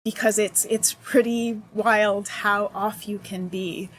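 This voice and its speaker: A woman's voice: mid-range voice, fairly even intonation, slow, breathy edge, rough recording. A woman talking as a guest in a casual interview, thoughtful and searching for her words. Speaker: accessibility consultant, guest.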